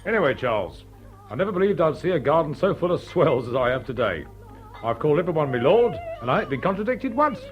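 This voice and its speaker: A man's voice: a little high, big pitch swings, fast talker, faint music behind. Cheeky English working-class footman, dull recording. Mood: cheeky, gossipy. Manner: colloquial, drops his aitches. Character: cheeky young working-class footman, plain-spoken and curious. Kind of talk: British radio drama acting.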